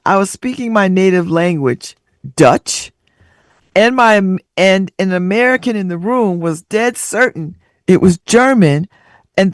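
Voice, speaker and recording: A woman's voice: mid-range voice, no room sound. A woman hosting a radio talk show, reading out listener stories and riffing on them with frequent laughter and sassy asides.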